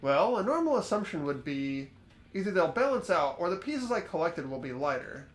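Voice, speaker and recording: A man's voice: fairly high pitch, big pitch swings, normal speed. A man playing an earnest, mild-mannered teenage schoolboy in a game voice-over, hesitant and questioning. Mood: earnest reasoning. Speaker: amateur voice actor. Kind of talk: fan voice-over of a visual novel game. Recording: game music under the voice.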